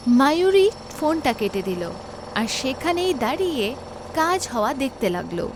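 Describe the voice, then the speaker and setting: A woman's voice: sits high, very animated, normal speed, noisy background. A woman narrating a scripted horror story as its storyteller. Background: loud background music.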